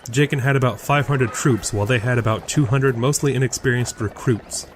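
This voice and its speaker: A man's voice: mid-range voice, a little fast, a little hiss or hum. A man reading scripted narration for a fantasy audio drama.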